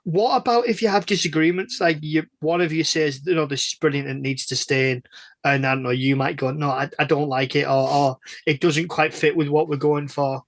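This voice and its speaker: A man with a moderately high voice hosts a casual chat. He feels his way into questions hesitantly and a little apologetically. The recording is dry and free of room sound. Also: steady tone, brisk, dry recording. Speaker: podcast guest host, interviewer.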